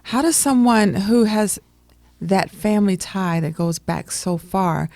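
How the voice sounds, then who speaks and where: A woman's voice: medium pitch, measured pace, darkish tone, no room sound. A woman chatting casually on a radio show in a colloquial, agreeing way.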